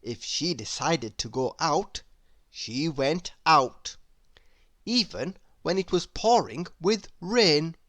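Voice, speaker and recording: Woman doing kids read-aloud, slow and deliberate, dry close sound, very expressive. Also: low voice, no echo. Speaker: storybook reader. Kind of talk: read-aloud narration for children.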